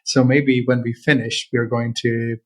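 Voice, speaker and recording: A man hosts a conversation, his voice husky and slightly breathy. He talks earnestly and haltingly, circling back with fillers and restarts as he works through his thoughts. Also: mid-range voice, little pitch movement. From Czech Republic. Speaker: veterinarian podcast host. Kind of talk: casual interview conversation.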